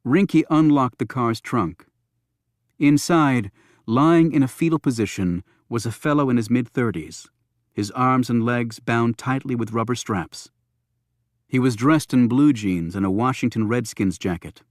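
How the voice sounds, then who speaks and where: A man's voice: mid-range voice, unhurried, no echo, clean studio sound. A man narrating a thriller audiobook from a script.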